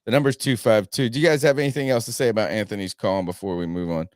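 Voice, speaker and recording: Low-voiced rambling sports podcast host. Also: male voice, steady tone, fast talker, dry recording, studio quality. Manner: rambling, swears freely. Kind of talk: casual sports talk.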